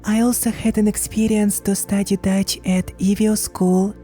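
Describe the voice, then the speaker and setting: A young woman with a Ukrainian accent, speaking careful non-native English with hesitant pauses, telling her own story in a personal vlog. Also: medium pitch, level tone, dark tone, faint music behind.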